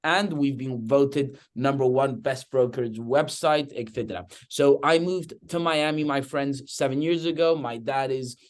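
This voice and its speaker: A man's voice: mid-range voice, normal speed, hardly any pauses. Enthusiastic Spanish-accented man on a webinar. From Spain (Madrid). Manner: enthusiastic, repeats "okay?" often. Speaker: real estate agent hosting webinar. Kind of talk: webinar presentation.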